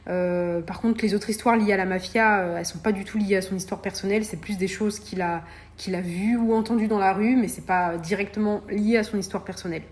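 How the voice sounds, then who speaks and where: A woman talks alone on her podcast at a middle pitch. She hesitates often and fills the gaps with many fillers, restarting her sentences as she goes. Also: steady tone, a little fast, darkish tone. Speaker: podcast host. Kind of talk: solo podcast monologue.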